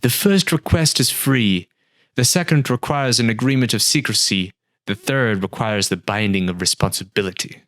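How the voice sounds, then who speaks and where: A man voicing a calm old male talking desert snake that explains things patiently, with a matter-of-fact, wry manner, in a storybook reading. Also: mid-range voice, normal speed, dry recording, lightly acted.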